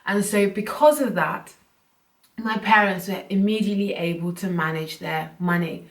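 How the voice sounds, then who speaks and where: A young woman vlogging from home while ill, her voice a little croaky and short of breath, talking in a chatty, earnest way. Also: medium pitch, moderate pace. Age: young adult. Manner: chatty, earnest, loose run-on phrasing. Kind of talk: casual talk to camera. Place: room at home. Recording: roomy, from a distance.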